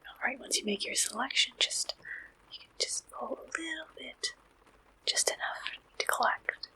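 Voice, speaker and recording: A woman doing a gentle, polite ASMR roleplay as a friendly, helpful service clerk, speaking in soft whispers. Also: high-pitched, moderate pace, poor audio. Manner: gentle, polite, attentive customer-service way of talking. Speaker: ASMR performer playing a dating service receptionist.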